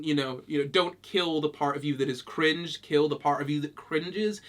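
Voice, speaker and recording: A young man with a Scottish accent talks casually to the camera, reading out comments and answering them with dry amusement. His voice sits noticeably high for a man. He breaks into easy laughter often. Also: sharp tone. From Britain. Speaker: YouTuber.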